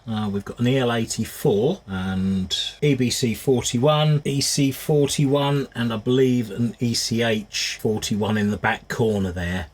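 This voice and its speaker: Low, slightly husky man thinking aloud. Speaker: vintage radio restorer presenting a repair video. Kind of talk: unscripted hands-on inspection commentary.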